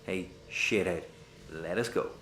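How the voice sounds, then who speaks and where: An actor playing a mouthy, exasperated space rogue in a sci-fi audio comedy. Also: male voice, sits low, slow pace, bright, forceful tone, exaggerated voice. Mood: cheeky pleading. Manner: exasperated, cheeky, sweary. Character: excitable, mouthy Irish male space rogue. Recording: echoey room, faint background noise.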